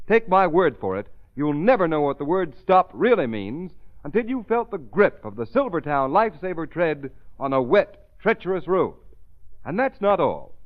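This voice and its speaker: A man's voice: on the high side, big pitch swings, normal speed. A man announcing on 1930s radio, reading a script with emphatic, dramatic salesmanship. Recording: dull vintage 1930s radio recording.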